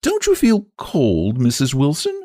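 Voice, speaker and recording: A prickly, envious country spinster, as a man reading aloud puts her on, drawling out her barbs and snapping back. Her voice rides high and swings widely. Dry, close sound. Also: wildly swooping pitch, moderate pace, vocal fry, a little mellow, no echo, heavily acted. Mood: sniping. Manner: drawling, snappish rural speech. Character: Lottie, sharp, jealous, sniping spinster woman.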